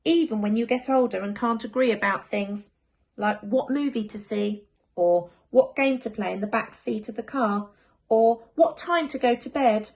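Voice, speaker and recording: A woman voicing a gentle, reassuring mother of young twins in a children's picture book, patient and soothing. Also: a little high, moderate pace, close to an everyday voice. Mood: patient, loving.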